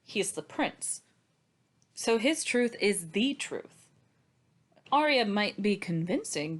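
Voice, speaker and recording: A woman hosting a book discussion in a sardonic, casually sweary way. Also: mid-range voice. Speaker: podcast host.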